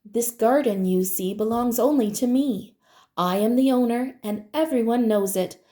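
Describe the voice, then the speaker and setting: A woman's voice: a little high, moderate pace, warm tone, natural voice. Woman as a bossy, possessive storybook gardener. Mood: stern, possessive. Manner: emphatic, bossy. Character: grumbling, possessive male gardener, proud and stern.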